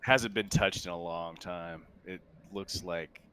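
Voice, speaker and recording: A man acting as game master, narrating scenes in a casual, halting, conversational way. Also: low voice, heavy background noise.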